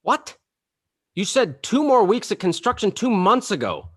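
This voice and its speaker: A man's voice: high-pitched, very animated, unhurried, a bit bright, breathy edge, full character voice. A man playing a wisecracking suburban dad close to retirement, in a hammy comedy table read. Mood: exasperated.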